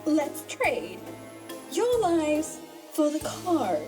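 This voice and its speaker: A woman's voice: high voice, wildly swooping pitch, extremely slow, full character voice. A woman playing a ruthless, card-obsessed villainess in a comedic audio drama, menacing and theatrical. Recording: music bed behind the voice.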